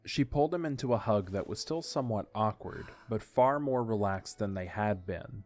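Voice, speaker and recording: A man's voice: on the low side, animated, normal speed, a little mellow. A man reading scripted narration for an audio drama story. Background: faint music.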